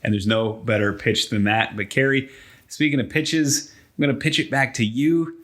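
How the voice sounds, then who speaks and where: A man with a medium-pitched voice talks as a podcast co-host and announcer. He sounds enthusiastic and conversational, in a casual sports-chat style. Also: normal speed, a bit bright. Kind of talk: casual podcast chat about pro wrestling.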